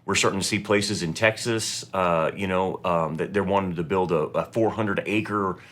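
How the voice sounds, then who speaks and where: A man's voice: sits low, steady tone, moderate pace, fairly bright tone. A middle-aged man hosting an interview, asking long friendly questions with frequent restarts. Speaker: host.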